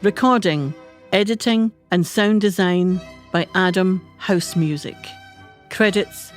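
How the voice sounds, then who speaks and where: A woman's voice: lowish voice, takes it slowly. A woman reading scripted credits as an announcer. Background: violin music.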